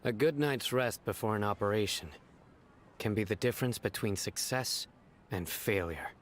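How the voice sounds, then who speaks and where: A man's voice: on the low side, moderate pace, slight background noise, lightly acted. A man voicing a confident, encouraging adult man in an anime-style game, speaking in an assured, declamatory way.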